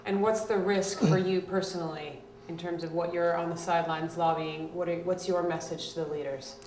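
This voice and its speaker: A woman from the Bahamas, a journalist interviewing a guest at a conference pavilion, asking questions in a brisk, conversational way. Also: fairly low pitch, steady tone, darkish tone, echoey room, slight background noise.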